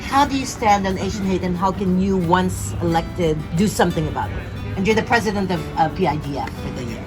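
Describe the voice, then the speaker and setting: Lady host, kinda low voice, lots of yes and right, loud music outside. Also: expressive, a little fast. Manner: brief affirming interjections. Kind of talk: interview host. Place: outdoor community festival. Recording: outdoor interview with loud music behind.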